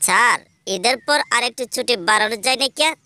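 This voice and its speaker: Woman doing a cheeky cartoon schoolboy, quirky slang, high bright voice. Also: lively intonation, fast talker, clear, resonant tone, no room sound. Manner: cheeky, mixing dialect words.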